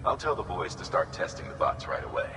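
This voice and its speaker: A very low male voice rings with long reverb over clear noise. a steady, authoritative commander, measured and businesslike, giving briefings in a game cutscene.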